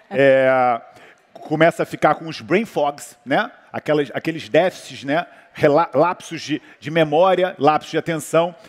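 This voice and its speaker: A man's voice: on the high side, a little slow. A man from Brazil with a Brazilian Portuguese accent, a physician talking casually on a panel, rambling with lots of fillers and restarts. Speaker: physician guest speaker. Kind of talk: conversational talk on a panel. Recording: hall reverb.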